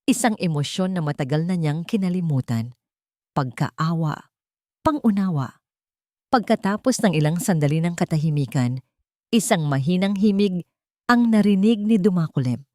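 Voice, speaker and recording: A woman narrating a scripted drama story in a measured, dramatic storytelling manner. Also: lowish voice, expressive, mellow, no room sound, clean studio sound.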